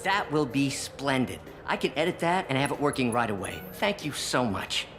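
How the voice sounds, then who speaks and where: A man playing an eager, chatty, deferential software shopkeeper in a video game, polite and enthusiastic. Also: medium pitch, sing-song, moderate pace, music in the background, lightly acted. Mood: pleased.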